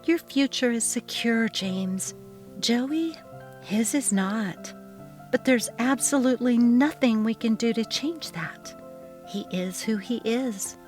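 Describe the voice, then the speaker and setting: A woman's voice: a little high, animated, takes it slowly, darkish tone, soft background music, voice pushed up. Woman acting emotional, anxious middle-aged mother. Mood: reassuring. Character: worried, resentful middle-aged mother Elle.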